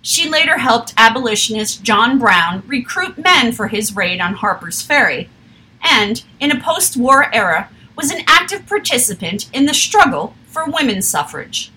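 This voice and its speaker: A woman reading a history text aloud in a steady, measured way, with an occasional slip. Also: on the high side, poor audio.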